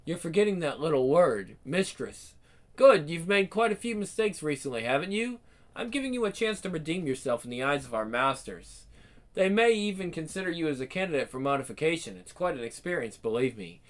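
An icy, domineering villainess. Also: female voice, low voice, lively intonation, a little fast. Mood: mocking. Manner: icy, commanding, mocking. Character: cold, domineering female villain spymistress.

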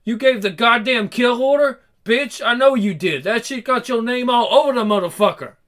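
A high, sharp-edged voice of an enraged comic-book man, acted by a male reader. He swears heavily and slangily, squaring up for a fight with every phrase. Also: expressive, moderate pace. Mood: furious. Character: furious foul-mouthed man confronting a powerful enemy. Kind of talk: comic book read-aloud with character voices.

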